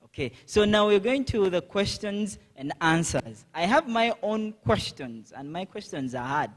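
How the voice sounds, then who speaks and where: Guy running the q and a at a conference, chatty, bit high voice. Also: lively intonation, unhurried. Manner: chatty, with frequent fillers and "okay". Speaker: moderator. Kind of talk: event moderation. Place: conference hall.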